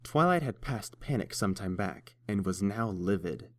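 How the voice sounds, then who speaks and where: Guy narrating a story, lowish voice, dry close sound. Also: animated, a little slow, no room sound.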